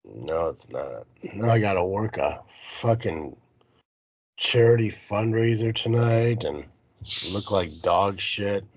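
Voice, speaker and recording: A man's voice: fairly low pitch, expressive, slow pace, dry recording. Grumbling man with a head cold. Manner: foul-mouthed, self-deprecating, grumbling. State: sick with a cold, runny nose. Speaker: podcast host. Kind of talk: casual chat, ad read at start.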